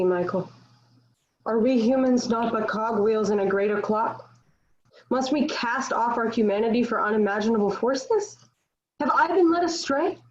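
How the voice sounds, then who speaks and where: Muffled roomy sound, woman as anguished young warrior maid, very high voice, earnest, questioning, stage play reading. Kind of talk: virtual table read of a stage play. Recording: muffled, echoey home online recording.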